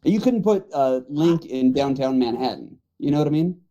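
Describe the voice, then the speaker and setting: A man's voice: mid-range voice, lively intonation, moderate pace, mellow. A man chatting casually and informally on a podcast panel. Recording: dull, muffled audio.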